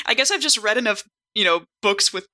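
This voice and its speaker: Very bright, animated woman chatting. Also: medium pitch, normal speed. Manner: animated, giggly, uses like often. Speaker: podcast cast member. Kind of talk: casual group chat.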